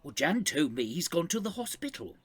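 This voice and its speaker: Husky old care home guy, anxious n sentimental, hesitant, very up and down, audio drama. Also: fairly high pitch, big pitch swings. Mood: worried. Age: older. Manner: hesitant, halting. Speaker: actor.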